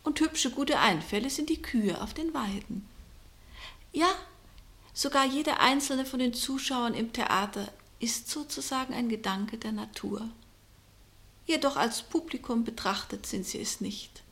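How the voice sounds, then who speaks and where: An actress playing a mysterious, knowing fortune-teller in a vintage German radio play, declaiming poetically with hushed, breathy drops. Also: female voice, fairly high pitch, a little slow. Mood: musing, warning. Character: mysterious fortune-teller woman casting spells, solemn and knowing. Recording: vintage 1960 radio drama recording.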